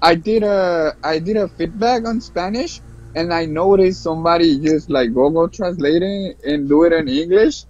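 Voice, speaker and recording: A man's voice: fairly high pitch, moderate pace, a little mellow, no echo. A man chatting casually as a podcast guest, telling things informally and repeating himself for emphasis. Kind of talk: casual chat. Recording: dull lo-fi, dry close sound.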